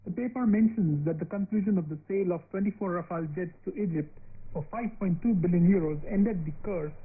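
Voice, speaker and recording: A man's voice: high-pitched, a little fast, husky. A man in a radio studio, reading a scripted review of the newspapers. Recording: phone-like shortwave radio, faint noise.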